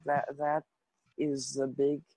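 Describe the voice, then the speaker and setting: A man's voice: medium pitch, completely flat delivery, slow, mellow, no room sound, rough recording. A young man in casual chat as a co-host, giving short, teasing replies.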